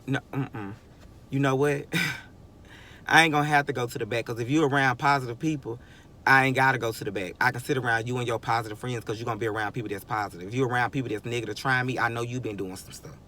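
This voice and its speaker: A man's voice: medium pitch, pushed and bright, slight background noise. A man in his twenties giving a rambling, emphatic motivational monologue, often chuckling and restarting sentences. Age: 20s. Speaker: vlogger.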